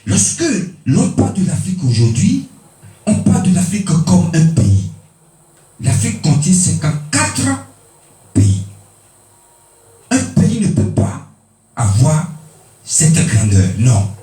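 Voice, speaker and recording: Male preacher, mellow tone, emphatic, lively pitch, distant echoey recording, faint music behind. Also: takes it slowly, pauses often. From Benin. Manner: emphatic, swinging pitch for stress. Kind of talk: sermon. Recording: echoey room, mic at a distance.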